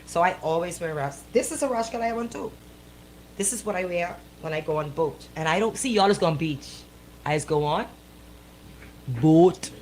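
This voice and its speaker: A woman's voice: fairly low pitch, moderate pace, slight background noise, rough recording. Playful Bahamian woman hosting live radio. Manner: playful, animated, colloquial. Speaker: radio show host. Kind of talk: casual radio banter on a live show.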